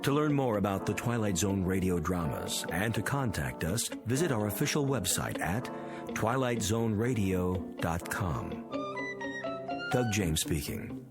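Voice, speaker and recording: A man's voice: on the low side, big pitch swings, measured pace. A man reading scripted closing credits as a radio drama announcer. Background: loud music.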